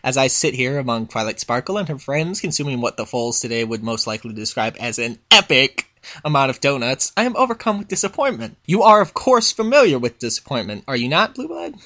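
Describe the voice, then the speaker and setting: A man's voice: mid-range voice, very animated, fairly quick, sharp tone. A man voicing a haughty, exasperated royal pony princess in a dramatic fan fiction reading, with pompous, scathing, formal diction. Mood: withering, disappointed.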